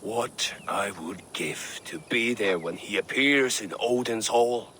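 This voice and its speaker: A slow, mournful Viking warrior. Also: male voice, lowish voice, sharp tone, slight background noise. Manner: solemn, deliberate. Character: mournful Viking warrior grieving his brother. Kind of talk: dramatic video game acting.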